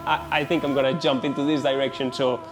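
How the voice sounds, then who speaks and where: A man's voice: mid-range voice, flat delivery, quick pace, loud music behind the voice. A man with a Colombian accent, a native Spanish speaker, casually telling his story as a company founder in an interview.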